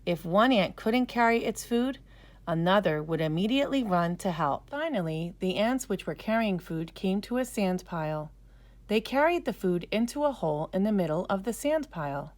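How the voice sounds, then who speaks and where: A woman storyteller narrating a scripted children's story in a careful, expressive way. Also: medium pitch, moderate pace.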